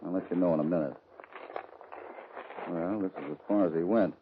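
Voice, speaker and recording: A man playing a shrewd, calm, deliberate cowboy hero in an old radio western. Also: low-pitched, a little hiss or hum, close to an everyday voice. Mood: calm suspicion. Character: shrewd, steady cowboy hero, unhurried and suspicious. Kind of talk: 1940s-50s radio western acting. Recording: muffled old-time radio recording.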